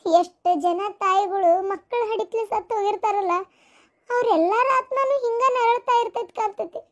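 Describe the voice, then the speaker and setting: A young mother's restless ghost in a cartoon melodrama. The voice is extremely high, almost childlike, and plaintive. She laments in grief with a North Karnataka accent. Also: normal speed. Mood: anguished, tearful. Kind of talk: melodramatic cartoon story acting.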